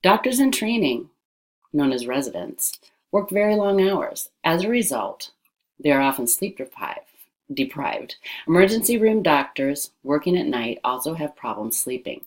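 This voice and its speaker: Low-pitched woman reading aloud. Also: big pitch swings, normal speed. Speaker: reader of an educational text. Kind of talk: scripted read-aloud narration.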